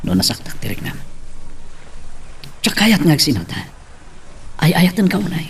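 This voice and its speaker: A man's voice: fairly high pitch, very animated, normal speed, creaky, slight background noise. A man playing a pleading, lovestruck young husband in an Ilocano audio drama, with an Ilocano accent. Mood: tender, pleading. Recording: faint music bed.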